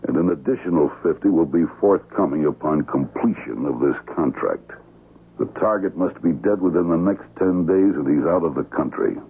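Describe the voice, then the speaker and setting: An actor playing a cool, businesslike handler of contract killers in a 1970s radio drama, formal and authoritative. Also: male voice, very deep voice, expressive, moderate pace, breathy edge, heavily acted. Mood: cool, official. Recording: muffled, phone-like vintage radio.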